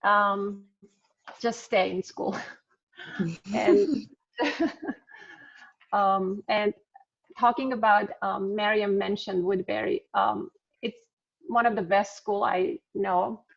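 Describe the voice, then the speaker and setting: A woman's voice: medium pitch, takes it slowly, creaky, long pauses. A middle-aged woman from Armenia with an Armenian accent, chatting casually on a video call, with frequent ums and little laughs. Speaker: alumna speaker. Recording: slightly dull video-call audio.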